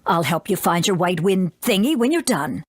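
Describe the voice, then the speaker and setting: A woman's voice: on the low side, animated, normal speed, dry recording, clean studio sound. A woman voicing a brusque but doting mother condor in a video game. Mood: brusque, worried. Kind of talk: English game dub.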